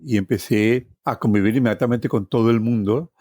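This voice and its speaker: Mellow Colombian man in his sixties reminiscing. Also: mid-range voice, fairly quick, no room sound, clean studio sound. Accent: Colombian Spanish. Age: 60s. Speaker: storytelling guest journalist. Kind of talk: personal reminiscence, conversational storytelling. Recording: music behind the voice. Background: plucked guitar music.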